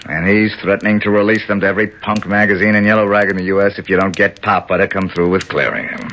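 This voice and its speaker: Actor as deep-voiced wry tough-guy radio hero. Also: level tone, fast, a bit bright, slight background noise, lightly acted. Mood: teasing, scheming. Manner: wry, slangy, teasing. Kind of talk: 1970s radio drama acting with first-person narration. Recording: 1970s radio broadcast, music behind.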